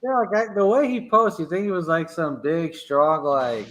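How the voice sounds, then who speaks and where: A man hosting a casual radio show, chatting in an animated way and reading out viewer comments. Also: a little high, normal speed. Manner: animated, laughing, reads chat aloud. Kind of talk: casual banter.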